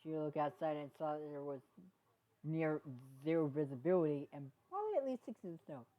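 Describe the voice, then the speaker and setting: A woman with a somewhat low voice narrates fan fiction, reading aloud. Her delivery is halting, and she often trips on words and goes back over them. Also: animated, dull audio. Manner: halting, stumbles and rereads words. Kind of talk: story narration, read aloud.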